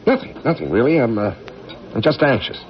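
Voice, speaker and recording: An actor playing a weary, haunted veteran astronaut commander with an American accent in a 1980s radio drama. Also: male voice, mid-range voice, animated, brisk, lightly acted. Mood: troubled, confiding. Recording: muffled old radio broadcast, music behind.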